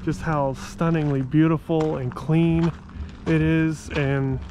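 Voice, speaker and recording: A man's voice: a little high, flat delivery, slow, dark tone, a little hiss or hum. A man narrating a walking tour of a city park outdoors as a travel vlogger, talking enthusiastically and correcting himself as he goes. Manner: enthusiastic, rambling with self-corrections.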